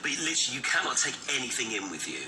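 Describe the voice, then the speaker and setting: A man's voice: medium pitch, expressive, racing, over music, rough recording. A man chatting casually and light-heartedly as a newspaper review guest on television. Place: television studio.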